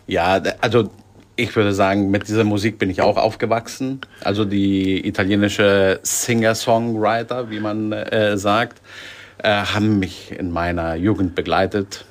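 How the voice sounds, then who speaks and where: A man's voice: fairly low pitch, fairly even intonation, a little slow. A man from Sicily as a guest, talking casually with many hesitations. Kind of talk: casual chat.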